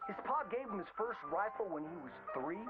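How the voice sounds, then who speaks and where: A man's voice: high-pitched, very animated, a little fast, narrow, tinny audio. An actor playing a folksy, theatrical frontier showman with a Southern American drawl, narrating boastful tall tales in a video game. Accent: American Southern, old frontier drawl. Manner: hammy, folksy, exaggerated storyteller delivery. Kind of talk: theatrical old-time storytelling narration. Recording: band-limited old-radio sound with prominent music. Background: music.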